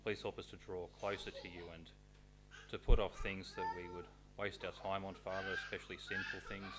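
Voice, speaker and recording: A man's voice: sits low, flat delivery, brisk. A young man leading a spoken prayer in church. He speaks earnestly and steadily and keeps repeating "Father". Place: small church hall, at a lectern. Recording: distant mic with background noise.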